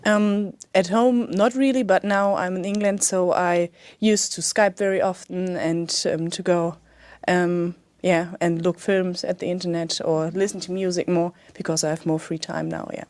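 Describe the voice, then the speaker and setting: A woman's voice: lowish voice, fairly even intonation, normal speed, a little mellow, no echo. A young woman from Germany with a German accent, hesitantly answering questions in an English speaking test. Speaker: English exam candidate.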